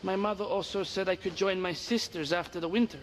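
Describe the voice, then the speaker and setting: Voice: medium pitch, a little fast, close to an everyday voice. An actor playing a defiant, sullen young boy in an audio game. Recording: noisy ambience behind the voice.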